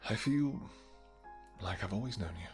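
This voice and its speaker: A man playing a tender, regal vampire king in a romantic fantasy audio roleplay, speaking softly and courteously with a halting delivery. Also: on the low side, expressive, soft background music, natural voice. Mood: affectionate. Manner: soft, courtly, hesitant, halting phrasing. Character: tender male vampire king, winged, regal and devoted lover.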